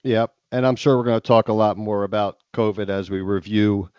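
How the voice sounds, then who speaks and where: A man's voice: on the low side, steady tone, a little fast, clear, resonant tone, no echo. A middle-aged man with a head cold, co-hosting a podcast in casual, rambling chat full of restarts. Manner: rambling, many ums and restarts. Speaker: podcast co-host. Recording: dry, slightly dull sound.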